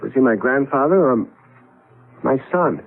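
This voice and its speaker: An actor playing a desperate, insistent husband trapped in the past, in 1970s radio drama style on a thin old broadcast recording. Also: male voice, mid-range voice, lively intonation, moderate pace, phone-quality sound, soft background music. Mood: grim, resigned. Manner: urgent, insistent, emphatic.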